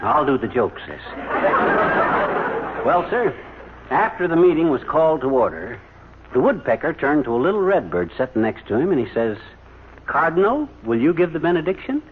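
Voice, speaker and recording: A man's voice: on the high side, big pitch swings, moderate pace, pauses often, narrow, tinny audio, a crowd in the background. A man playing a folksy, patient storyteller who spins tales for a child in homely phrases, in old-time radio comedy style. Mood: playful storytelling. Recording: thin, hissy old radio recording.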